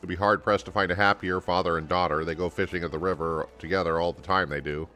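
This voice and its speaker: Man doing a low, folksy fantasy town merchant. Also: steady tone, fast talker, pushed and bright, soft background music. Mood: wistful, concerned. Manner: folksy, old-fashioned turns of phrase. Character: friendly town merchant, worried for a friend's daughter.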